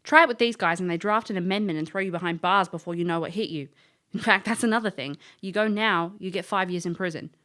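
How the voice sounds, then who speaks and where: A woman's voice: on the low side, quick pace, a bit bright, close to an everyday voice. An actress playing a world-weary female elf, a former thief, dry and blunt in an audio drama. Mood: stern, matter-of-fact. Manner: dry, blunt, matter-of-fact.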